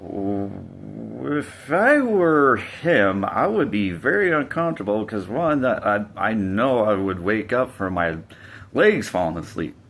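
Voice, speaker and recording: Deep-voiced man telling a story slowly. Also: very animated, creaky, a bit bright. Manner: unhurried, hesitant with fillers and restarts. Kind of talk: casual personal storytelling to camera.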